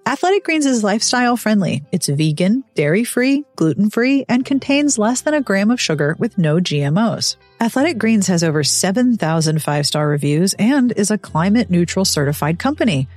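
A woman's voice: medium pitch, very animated, normal speed, few pauses, a little mellow, faint music behind. A woman hosting a podcast, reading her intro and ads in an upbeat, chatty and personal way. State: recovering from illness. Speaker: podcast host. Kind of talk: scripted podcast intro and host-read ads.

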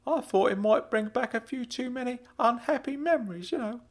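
A man voicing a kindly, fussy older uncle in an audiobook story, earnest and excitable. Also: medium pitch, brisk, voice pushed up, natural voice. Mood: bright, fussing. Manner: excitable, earnest, swooping delivery. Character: kindly older uncle, fussy, caring, fond of dad jokes.

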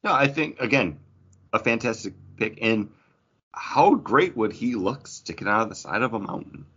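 Lower-voiced man in relaxed podcast conversation. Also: moderate pace, slightly rough. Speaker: podcast co-host. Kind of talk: casual podcast chat. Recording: dull, band-limited podcast audio.

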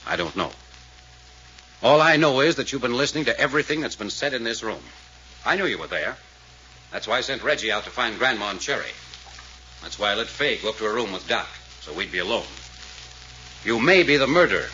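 An actor playing a cool-headed, authoritative male detective in 1940s radio style, speaking deliberately and dramatically. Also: medium pitch, sharp tone. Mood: accusing. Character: commanding, cool-headed male detective addressing a hidden villain. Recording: dull, noisy old-time radio recording with hum.

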